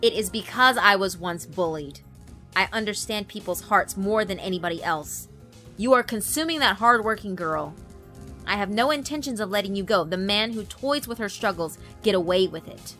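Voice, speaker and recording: Woman as a forceful, righteous schoolgirl yokai. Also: medium pitch, lively intonation, moderate pace, soft background music. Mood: defiant resolve. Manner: forceful, defiant, earnest. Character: fierce, righteous schoolgirl yokai with monstrous strength. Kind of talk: horror visual novel character acting.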